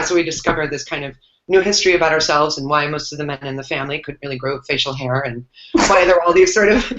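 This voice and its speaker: A woman's voice: deep voice, fairly bright tone. A woman on a panel, giving a rambling, reflective introduction full of fillers. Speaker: panel guest. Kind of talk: casual self-introduction on a video call. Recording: echoey room, dull lo-fi sound.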